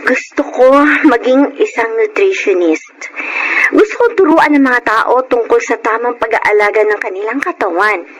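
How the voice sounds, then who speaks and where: A woman's voice: a little high, moderate pace, fairly bright tone, natural voice. A woman acting an earnest, emotional young girl in a Filipino radio drama. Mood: calm, determined. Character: Michelle, earnest tearful overweight girl striving to slim down. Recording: noisy radio drama recording.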